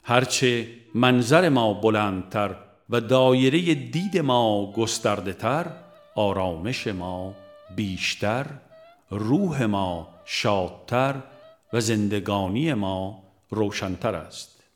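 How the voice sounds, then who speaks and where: A man narrating a spiritual book in a measured, solemn manner with deliberate pauses. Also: on the low side. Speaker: audiobook narrator. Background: music.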